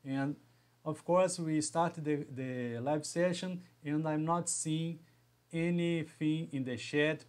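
A man in his thirties with a Brazilian Portuguese accent, hosting a live stream from a small home room into a webcam and talking haltingly, restarting and repeating words as he goes. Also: medium pitch, darkish tone. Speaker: engineering educator hosting a live session. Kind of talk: live stream talk to viewers.

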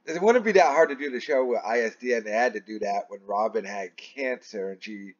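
A man's voice: medium pitch, brisk. A man giving casual opinion commentary in a baffled, rambling way, repeating phrases for emphasis. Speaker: online commentator. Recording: dull, lo-fi sound.

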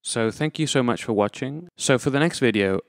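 A man's voice: mid-range voice, animated, a little fast, no echo, clean studio sound. A man giving an informal, unscripted tutorial, explaining things step by step as a presenter. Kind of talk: informal instructional demonstration.

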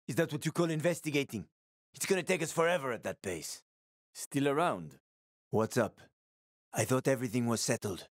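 An actor playing a wry, roguish middle-aged survivor in a video game, with a sardonic, slangy, casual way of talking. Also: male voice, mid-range voice, lively intonation, normal speed, dry recording, studio quality, lightly acted. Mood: wry, matter-of-fact. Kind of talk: video game character acting.

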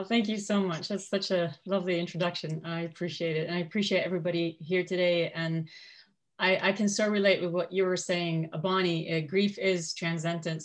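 Woman in her forties, fairly low voice, measured and articulate, personal storytelling, panel speaker. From Kuwait, of Syrian parents. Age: 40s. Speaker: panel speaker, coach and media professional. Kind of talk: personal storytelling in a prepared talk.

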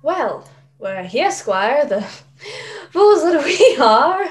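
A young woman playing a skeptical, cautious gentleman doctor in a radio play, with a dry, teasing manner. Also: high-pitched, sing-song, extremely slow, full character voice. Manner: dry, wry.

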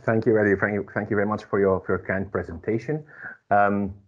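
A man with a Portuguese accent, a teacher presenting in a webinar, talking haltingly with many restarts and fillers over a video call. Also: lowish voice, level tone. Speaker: guest speaker, teacher. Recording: dull lo-fi video call audio.

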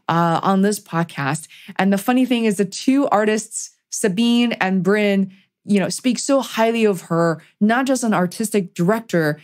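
A woman's voice: mid-range voice, darkish tone. A woman hosting a podcast, chatting casually and encouragingly as she interviews.

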